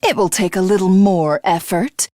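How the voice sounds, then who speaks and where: A woman's voice: mid-range voice, moderate pace, a little mellow, no echo, studio quality, close to an everyday voice. A woman voicing a megalomaniacal, contemptuous female tyrant who craves godhood, in theatrical video game villain style. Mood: triumphant, grandiose.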